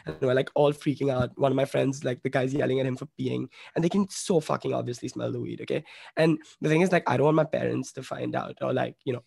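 A man's voice: medium pitch, fairly even intonation, quick pace, creaky, a little mellow, breathy edge, no room sound. A young man from Bombay telling a story as a podcast guest, rambling and swearing, with lots of "like" fillers. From Bombay, India. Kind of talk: casual storytelling.

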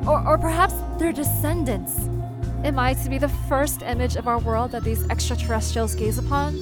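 An awestruck alien scientist, played by a woman, narrates a first-person audio drama in a fairly high voice. The delivery is halting and nervous, full of wonder, with music clearly playing underneath. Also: fairly even intonation, lightly acted. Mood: excited wonder.

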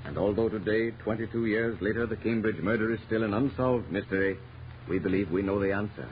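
A man's voice: fairly low pitch, fairly quick. A man narrating a scripted 1930s radio drama in a dramatic, declamatory manner. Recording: dull, noisy 1930s radio recording.

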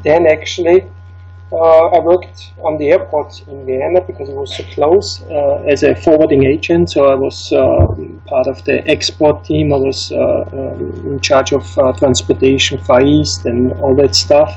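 A man's voice: a little high, little pitch movement, normal speed, creaky, a little mellow, lo-fi sound, faint music behind. A middle-aged man with an Austrian accent, German as his first language, telling his life story as an interview guest, with many fillers and restarts. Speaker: ski instructor.

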